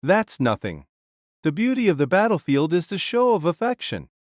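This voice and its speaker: A man voicing a boisterous, carefree warrior king who makes loud, hearty proclamations. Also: high-pitched, big pitch swings, normal speed, no echo, studio quality. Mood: magnanimous. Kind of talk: audiobook character voice.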